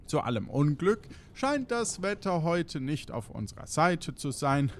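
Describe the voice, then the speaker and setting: A man's voice: mid-range voice, a little hiss or hum. A man playing a formal, overly polite pirate crew spokesman delivering an apologetic announcement in a comedy audio drama.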